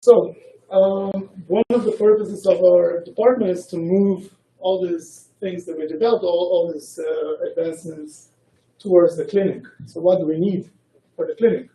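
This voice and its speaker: A man's voice: high-pitched, steady tone, dark tone. Muffled, echoey male lecturer. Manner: halting, frequent self-corrections mid-word. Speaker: researcher giving a colloquium talk. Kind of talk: academic lecture with slides. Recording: muffled, echoey room, distant mic.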